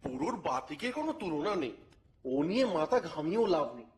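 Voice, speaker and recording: High-pitched, wry male storyteller. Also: very animated, moderate pace. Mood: wry, narrating. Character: wry, grumbling male reporter narrating his detective adventure. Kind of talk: dramatized first-person story narration. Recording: music bed under the voice.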